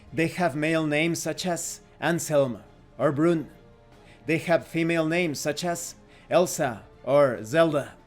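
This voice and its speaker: A man reading rulebook text aloud as scripted narration for a tabletop game review. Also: on the high side.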